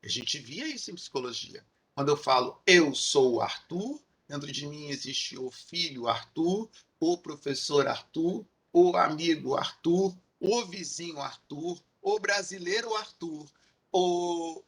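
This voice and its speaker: Carioca male lecturer, bright and husky, explanatory, repetitive lists, tag questions. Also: fairly high pitch, lively intonation, a little slow. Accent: Carioca Portuguese. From Rio de Janeiro, Brazil. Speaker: university lecturer. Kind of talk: recorded online lecture.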